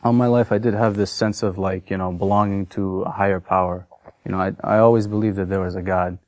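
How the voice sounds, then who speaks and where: A man in his thirties from Massachusetts, telling his personal story in a halting, unscripted way full of fillers. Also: sits low, flat delivery, darkish tone, dry recording. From Massachusetts, USA. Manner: hesitant, many fillers and restarts. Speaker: convert sharing his story.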